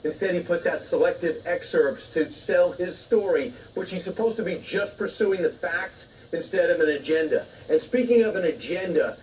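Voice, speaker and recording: A man's voice: high-pitched, normal speed, few pauses, a little mellow. Opinionated male news host, muffled audio. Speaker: morning news show host. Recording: muffled, distant, faint noise.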